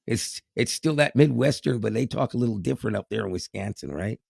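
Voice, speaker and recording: A hoarse man from Canada, co-hosting a casual podcast in a rambling way, with restarts and chuckles. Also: on the low side, expressive, a little fast, a little mellow, no room sound, clean studio sound. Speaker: podcast co-host.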